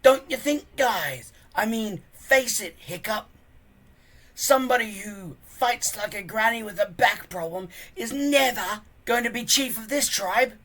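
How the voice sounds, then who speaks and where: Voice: mid-range voice, a little slow, a bit bright, voice pushed up. Someone reading a storybook aloud, voicing a smug Viking boy bully who sneers and boasts. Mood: sneering.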